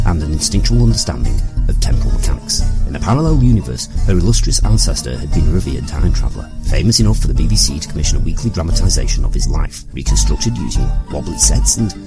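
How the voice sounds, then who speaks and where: Loud music runs under a man's deep, creaky voice. He narrates a scripted comic story in a wry, expository way, with pitch that swings widely.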